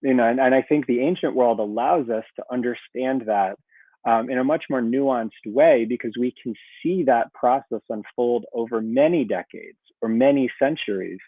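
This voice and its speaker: A man's voice: medium pitch, normal speed, no room sound. Man on a tinny video call. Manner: thoughtful, with many fillers. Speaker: guest lecturer. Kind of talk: academic Q&A answer. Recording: tinny phone-like call audio.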